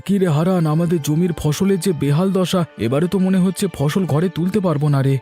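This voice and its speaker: Man playing a mellow, quick village farmer uncle. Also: high voice, husky, soft background music. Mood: worried. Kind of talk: educational cartoon dialogue.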